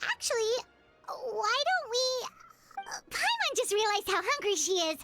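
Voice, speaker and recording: A woman's voice: very high-pitched, very animated, a little slow, over loud music, exaggerated voice. A woman voicing a tiny, naive, childlike fairy girl in an anime game, hesitant and chirpy. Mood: curious, hesitant. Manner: refers to self in third person, hesitant.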